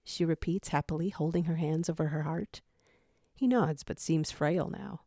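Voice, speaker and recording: A woman reads dramatic story narration. Her voice is on the low side with noticeable fry, recorded dry and close. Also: steady tone, moderate pace, warm tone, dry recording.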